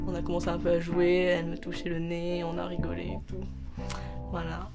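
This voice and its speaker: A woman in her twenties, an art student giving a casual personal testimony in a hesitant, chuckly way. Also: on the low side. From born in southern Vietnam, adopted into France. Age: 20s. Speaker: art student being interviewed.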